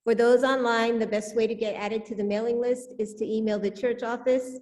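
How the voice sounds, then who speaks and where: A woman with a fairly high voice speaks as a service leader. She delivers a prepared welcome to a congregation, giving announcements into a church microphone. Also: fairly even intonation, fairly quick, a little mellow.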